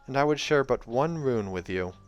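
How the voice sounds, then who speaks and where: A hoarse man voicing a playful young woman in love, with a bright, teasing delivery. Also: medium pitch, expressive, moderate pace, lo-fi sound, faint music behind, close to an everyday voice.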